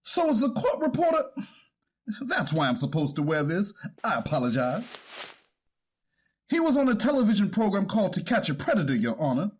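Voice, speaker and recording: Defense lawyer, acted by a man: high-pitched, very animated, politely contesting in a courtroom play. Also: normal speed, husky, heavily acted. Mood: sheepish. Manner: explanatory, polite but contesting. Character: argumentative, apologetic male defense attorney in court. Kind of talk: amateur readers theater courtroom drama.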